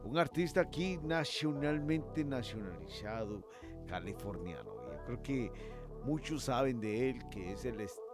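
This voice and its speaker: A man giving a lively announcer-style introduction. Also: mid-range voice, a little slow. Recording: over loud music.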